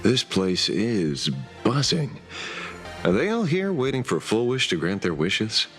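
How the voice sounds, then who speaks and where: An actor voicing a sardonic, teasing detective with a vigilante streak in a video game story. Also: male voice, fairly low pitch, wildly swooping pitch, unhurried, over music. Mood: musing. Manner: wry, theatrical, teasing.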